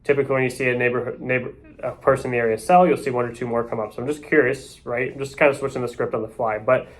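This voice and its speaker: Fast-talking male real estate coach. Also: mid-range voice, fairly even intonation. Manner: loose, off-the-cuff, often ends points with "right?". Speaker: real estate agent teaching door knocking. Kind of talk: casual sales coaching talk.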